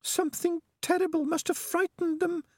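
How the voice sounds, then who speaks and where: A man's voice: extremely high pitch, flat delivery, moderate pace, darkish tone, dry recording, clean studio sound, voice pushed up. A man voicing an earnest, worried young female rat with an urgent, questioning manner in an audiobook story.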